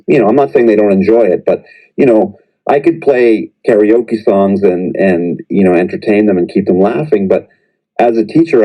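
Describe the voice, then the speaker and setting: A middle-aged man, a professor who teaches English, talking through his teaching experience in a relaxed podcast chat, often pausing and restarting his sentences. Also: on the low side, highs cut off. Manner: reflective, hesitant, many restarts and fillers. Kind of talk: conversational podcast interview.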